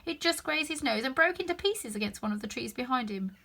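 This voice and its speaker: A woman reading a children's story aloud in an expressive narrating style. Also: sits high, fairly quick, gravelly. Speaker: storybook reader.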